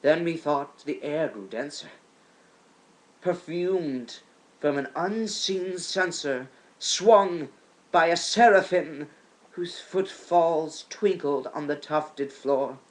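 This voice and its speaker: Slow, theatrical man reading poetry. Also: fairly high pitch, rough recording. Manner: theatrical, halting phrases with swelling emphasis. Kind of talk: amateur dramatic poetry reading.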